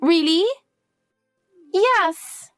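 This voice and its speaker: A woman's voice: extremely high pitch, lively intonation, extremely slow, fairly bright tone, no room sound, clean studio sound, faint music behind, voice pushed up, full character voice. A woman voicing a frightened little girl who clings to her mother with pleading questions. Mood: eager. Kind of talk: dramatic dialogue.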